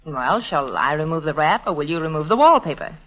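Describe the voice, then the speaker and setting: A woman's voice: deep voice, sing-song, fairly quick, fairly bright tone, muffled recording. An actress playing a coy, affectionate young society woman, in 1940s radio style. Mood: teasing. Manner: coy, teasing. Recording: noisy, clicky 1940s radio recording.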